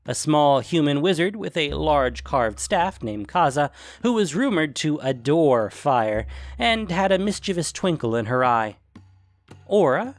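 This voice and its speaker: Animated male fantasy story narrator. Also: mid-range voice, dry recording.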